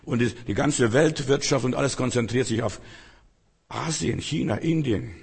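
Hoarse, gravelly preacher. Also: male voice, medium pitch, moderate pace. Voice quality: raspy, gravelly. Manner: earnest, exhorting, rhetorical questions. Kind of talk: sermon. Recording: long hall reverb.

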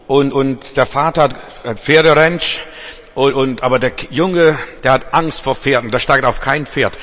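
A man's voice: fairly high pitch, fairly bright tone. A male preacher giving a sermon to a congregation, speaking emphatically with repetitions, direct address and frequent restarts. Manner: stumbles and restarts. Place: church congregation.

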